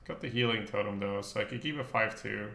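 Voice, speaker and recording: A man's voice: on the low side, brisk, creaky, sharp tone, reverberant. A man from northern Belgium whose native language is Dutch, chatting casually and thinking aloud with some swearing as he streams a game. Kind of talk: casual live game commentary, chatting with viewers.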